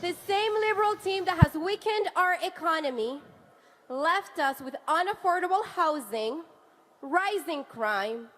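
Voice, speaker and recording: High-voiced woman giving a rousing rally speech. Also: expressive, measured pace. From Venezuela, raised in Canada. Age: 30s. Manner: rousing, rhetorical, builds to shouts on slogans. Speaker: rally speaker, wife of a party leader.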